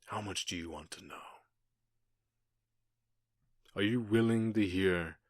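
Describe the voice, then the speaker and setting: A man in an ASMR roleplay playing a menacing, gloating outlaw gang boss who taunts with mock politeness and deliberate pauses. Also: deep voice, dry recording, studio quality. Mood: taunting, probing.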